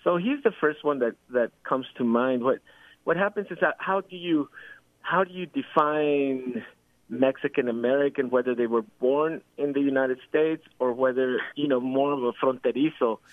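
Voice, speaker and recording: A man's voice: mid-range voice, normal speed, dry recording. A man, a historian answering questions as a guest on a radio show, thoughtful and hesitant with many restarts. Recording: tinny phone-line sound.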